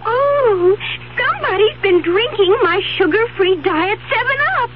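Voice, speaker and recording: A woman playing a prim, excitable Goldilocks in a comic radio commercial, theatrical and exclamatory. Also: very high voice, a little slow, over a noisy crowd. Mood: dismayed, startled. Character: prim, excitable storybook Goldilocks working as taste tester. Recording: tinny, noisy old radio broadcast.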